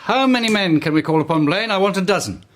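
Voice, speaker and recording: An actor playing a brisk, commanding Victorian police inspector in a radio drama, crisp and authoritative. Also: male voice, high-pitched, lively intonation.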